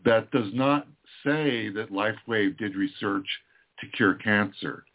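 A man with a low voice speaks slowly and carefully as a doctor co-hosting a call-in show. His voice is thin and tinny, like a phone line. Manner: slow, measured explaining. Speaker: co-host, doctor.

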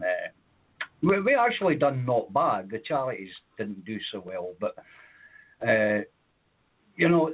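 A man's voice: mid-range voice, husky. A Scottish man co-hosting a comedy radio show, telling long rambling childhood stories with lots of fillers and chuckles. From Scotland. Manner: rambling anecdotes with many fillers, laughs at own stories. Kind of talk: comedic storytelling on a podcast. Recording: tinny phone-like audio.

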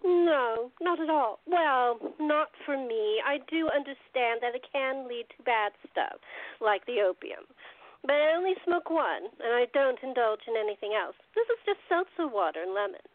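A woman's voice: sits high, animated, normal speed, no room sound. A woman playing a glamorous, indulgent 1920s party hostess who calls people darling, in an amateur radio play. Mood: breezy. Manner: affectionate, calls others darling. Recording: tinny phone-like audio.

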